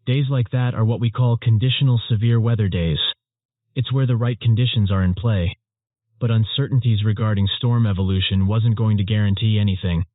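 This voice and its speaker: A man playing an earnest, measured storm chaser giving scripted interview answers. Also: lowish voice, steady tone, no room sound, close to an everyday voice. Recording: muffled narrowband phone-like audio.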